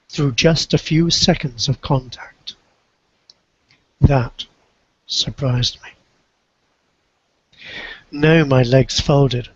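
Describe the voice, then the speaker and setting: A man reading a first-person literary narrative aloud in a measured, reflective storytelling way, with a husky voice. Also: a little high, no echo, dull audio. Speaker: co-reader of a book.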